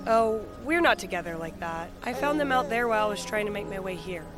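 A woman's voice: medium pitch, animated, fairly quick, music in the background, close to an everyday voice. A woman playing a composed, hopeful survivor in an audio drama.

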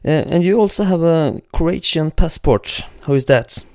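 Male interview host, hesitant with many uhs, clear smooth voice, dry close sound. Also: fairly high pitch, animated, normal speed, a little mellow, no room sound. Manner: hesitant, many uhs, non-native phrasing. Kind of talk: casual interview questions.

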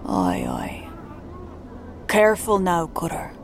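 Wry fantasy townie, woman acting, slangy, takes her time, bit low, audio drama. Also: huge pitch swings, gravelly, a little hiss or hum, heavily acted. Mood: wry, gossiping. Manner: slangy, unhurried. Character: wry street-wise townsperson of a grim fantasy city.

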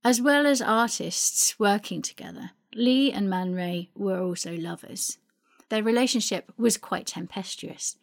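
A woman presenting a narrated talk on art history, explaining in a measured, informative way. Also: medium pitch, creaky. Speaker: speaker presenting a family archive.

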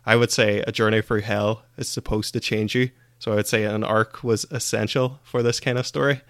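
Man, mid pitch, little melodic variation, casual podcast book talk, dry close sound. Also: fairly even intonation, brisk, a bit bright, dry recording.

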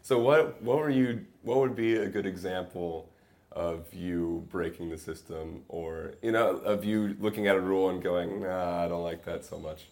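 A man's voice: low-pitched, normal speed, vocal fry, a little mellow. A man hosting a podcast, eagerly prompting his guest in a casual interview and laughing often. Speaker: podcast host.

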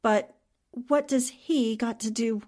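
A woman plays a young captive newspaperwoman in an old-time radio drama. Her voice sits fairly high, and she is firm, quick-witted and defiant toward her captors. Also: a little mellow. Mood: guarded, defiant.

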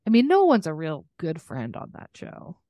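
A woman's voice: deep voice, huge pitch swings, moderate pace, warm tone, no room sound, studio quality. A woman co-hosting a podcast in casual chat, talking in a chatty, joking, self-deprecating way. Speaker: podcast co-host.

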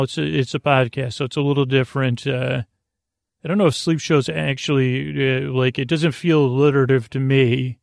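A man with a gravelly voice hosting a sleep podcast, talking in a halting, meandering way, stammering and drifting into tangents. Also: medium pitch, dry recording, studio quality. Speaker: podcast host. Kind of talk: rambling bedtime monologue for a sleep podcast.

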